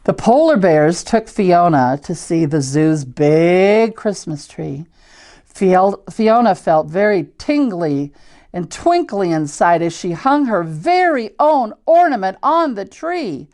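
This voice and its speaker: Older female picture-book reader, Midwestern US accent. Very measured pace, animated intonation, chatty with young listeners. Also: lowish voice. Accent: American Midwestern. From Iowa, United States. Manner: simple, deliberate wording, asks listeners questions. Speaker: church storyteller reading picture books to children. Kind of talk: children's read-aloud with chatty asides.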